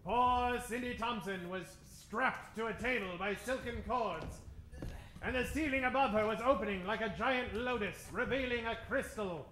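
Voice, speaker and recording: A man's voice: very high voice, normal speed, fairly bright tone, a little hiss or hum. A man narrating a comic old-time radio serial in a dramatic, tongue-in-cheek way. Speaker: narrator, adventure host. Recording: echoey stage, music behind.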